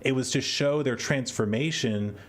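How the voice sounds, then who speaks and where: A man's voice: medium pitch, moderate pace. A man hosting a podcast, giving casual, exasperated commentary and often repeating words as he thinks aloud. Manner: opinionated, exasperated. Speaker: podcast host.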